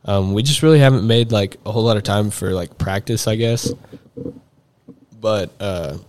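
A man's voice: lowish voice, normal speed, a little mellow. A young man, chatting casually as a podcast guest, rambling with lots of fillers and restarts. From Texas. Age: young adult. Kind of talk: casual chat.

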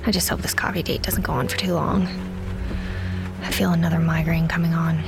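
A woman's voice: deep voice, level tone, brisk, over music. An actress playing a weary, caring woman in grief, in a naturalistic audio drama. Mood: grieving, sad. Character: grieving, weary small-town woman, subdued and caring.

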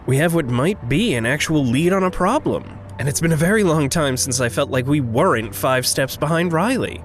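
A man playing an earnest, guarded traveler in a naturalistic audio drama, speaking in a hesitant, halting way. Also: on the high side, animated, soft background music, lightly acted. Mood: hopeful, tentative.

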